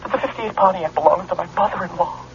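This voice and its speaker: An actor playing a harried, wisecracking customer in a comic radio ad. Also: male voice, high voice, fast talker, creaky, noisy background. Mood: exasperated. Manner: comic, exasperated. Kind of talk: radio ad sketch. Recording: muffled old radio ad.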